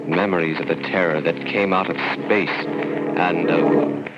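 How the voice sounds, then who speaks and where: An actor playing an ominous storyteller, brooding and portentous, in an old-time radio horror drama. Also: male voice, deep voice, fairly even intonation, measured pace. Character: ominous male storyteller brooding on dark memories. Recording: muffled, very noisy vintage radio recording.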